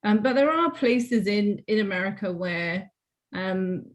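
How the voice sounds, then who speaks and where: A woman's voice: medium pitch, lively intonation, measured pace. A woman from Wales with a mixed transatlantic accent, speaking as a panelist over a video call, chatty and informal with lots of "like" and "you know". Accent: transatlantic Welsh. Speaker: panelist and writer. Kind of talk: panel discussion, casual.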